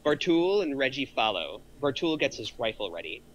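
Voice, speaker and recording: A man's voice: medium pitch, very animated, moderate pace, fairly bright tone, highs cut off. A middle-aged man reading out screenplay action lines as a narrator. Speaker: narrator of a script table read.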